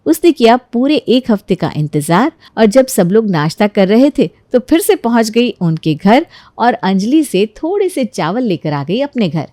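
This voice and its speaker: A woman's voice: a little high, big pitch swings, normal speed, warm tone, no room sound. A woman giving scripted narration as the storyteller of a domestic tale.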